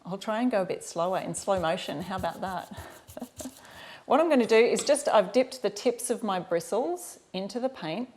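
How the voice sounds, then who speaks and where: A woman's voice: mid-range voice, lively intonation, moderate pace, darkish tone. A woman with an Australian accent giving a casual live craft tutorial, chatting informally and directly to her viewers. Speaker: host of a live craft demonstration. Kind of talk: casual livestream tutorial chat.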